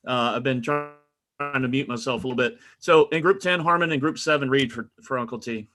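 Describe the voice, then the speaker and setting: Bright-voiced man with a slight head cold. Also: mid-range voice, a little fast, faint music behind. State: mild cold with a runny nose. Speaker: sports picks analyst on a panel. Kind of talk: casual sports analysis.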